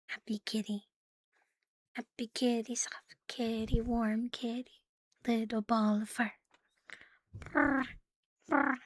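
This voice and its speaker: A woman livestreaming ASMR in a playful, cutesy catgirl manner, soft and breathy, often whispering, close to the microphone. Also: a little high, drawn-out words, long pauses, slight background noise. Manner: playful, cutesy catgirl persona, with giggles and purring. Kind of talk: ASMR, intimate chat to live listeners.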